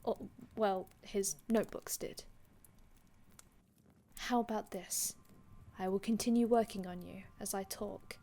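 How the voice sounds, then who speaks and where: A woman's voice: mid-range voice, fairly even intonation, measured pace, pauses often, mellow. A woman playing a courteous, ancient vampire healer in an ASMR roleplay, speaking formally and reassuringly with archaic phrasing and small stammers. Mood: soft, reflective. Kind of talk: ASMR roleplay, addressing the listener.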